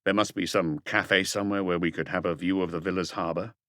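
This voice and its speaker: A man voicing a shrewd police detective in an audiobook, speaking in a quiet, casual, measured way. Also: low voice, steady tone, no echo, clean studio sound. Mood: quiet, wry. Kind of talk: audiobook character dialogue.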